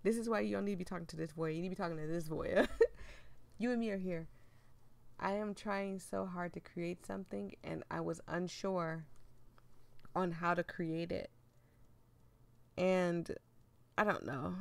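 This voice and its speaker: A woman streamer with a hoarse voice she is losing, chatting and laughing as she reads a story game aloud. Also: lowish voice, fairly even intonation, moderate pace, pauses often, dry recording. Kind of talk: casual game commentary and reading aloud.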